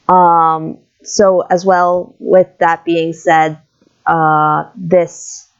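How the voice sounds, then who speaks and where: A woman's voice: lowish voice, level tone, drawn-out words, clear, resonant tone, highs cut off. A young woman giving a casual, informal update to her viewers in a hesitant, apologetic way. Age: young adult. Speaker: video creator and comic dubber.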